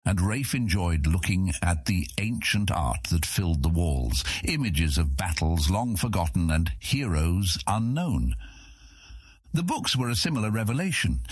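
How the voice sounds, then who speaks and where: Deep, expressive male fantasy narrator. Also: slightly rough, no echo. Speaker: audiobook narrator. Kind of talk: scripted dramatic fantasy narration.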